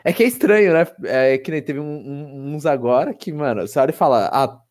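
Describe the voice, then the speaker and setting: A man's voice: fairly high pitch, animated, a little fast, a little mellow. A man, co-hosting a casual podcast chat about video games, rambling with many restarts. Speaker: podcast co-host.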